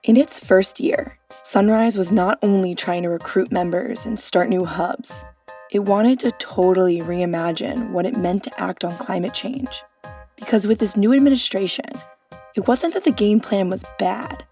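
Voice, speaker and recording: A woman's voice: medium pitch, normal speed, mellow, faint music behind. A woman narrating a documentary podcast from a script in a conversational, punchy way.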